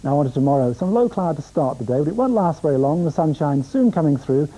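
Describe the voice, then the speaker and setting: Male forecaster, British, very soft dark tone, conversational presenting. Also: fairly high pitch, big pitch swings, fast talker, low-quality recording. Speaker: weather forecaster.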